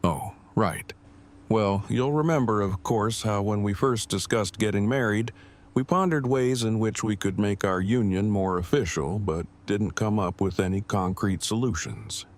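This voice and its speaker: A man acts out an earnest, devoted bridegroom in an audio drama. His voice sits low, and he talks in long, precise, formal sentences at a measured, bookish remove. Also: close to an everyday voice. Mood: formal, thoughtful.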